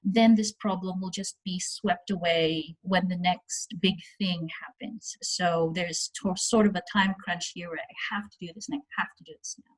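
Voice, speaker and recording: A woman's voice: lowish voice, steady tone, normal speed, hardly any pauses, breathy edge, poor audio. A woman speaking candidly and reflectively as a panellist on an online call. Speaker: founder and artist, panellist.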